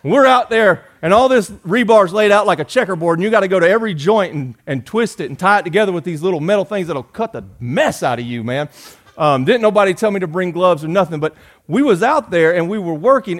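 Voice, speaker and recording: Pastor in his 40s preaching, folksy, jokey, goes loud then quiet, kinda higher voice. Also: expressive, fast. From Georgia. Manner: folksy, joking, swings from loud to soft. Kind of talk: sermon.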